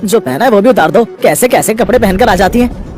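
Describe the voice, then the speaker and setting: A woman voicing the various men of a storybook cartoon, from a grumbling tailor to a taunting goon, in a gruff, scornful way. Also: mid-range voice, animated, brisk, darkish tone, natural voice. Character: rough male men of the town, master ji, Sahil, goon. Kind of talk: storybook character voices. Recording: faint music behind.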